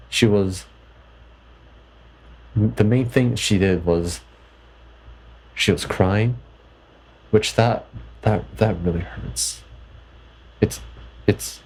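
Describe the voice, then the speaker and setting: A man's voice: low-pitched, slight background noise, rough recording. A young man from Canada in a personal vlog, reflecting thoughtfully and hesitantly, often restarting his sentences. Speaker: vlogger.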